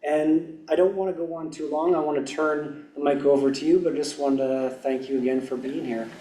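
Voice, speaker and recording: A man hosting an event, introducing a guest speaker haltingly with many ums and uhs. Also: a little high, fairly even intonation, mellow, slight background noise. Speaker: event host. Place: lecture hall. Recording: echoey hall, mic far off.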